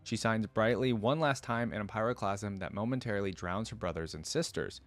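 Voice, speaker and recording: A man reading as a proud, low-voiced captive star dragon. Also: brisk, fairly bright tone, faint music behind, natural voice. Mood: grieving, bitter. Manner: grandiose, florid storybook narration. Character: proud, vengeful celestial star-forging dragon held captive.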